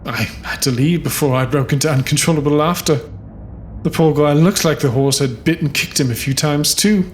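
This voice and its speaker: A man's voice: a little high, normal speed, slight background noise, lightly acted. A man playing a spaceship co-pilot with a casual, wry, unflustered way of talking, in a sci-fi audio drama. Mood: amused. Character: Cal, male spaceship co-pilot, relaxed and wry.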